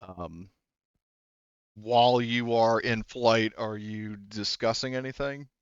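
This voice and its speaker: A man's voice: fairly low pitch, slow, clean tone, dry recording, lo-fi sound. A man narrating as game master in a casual tabletop session, explaining things in a rambling, hesitant way.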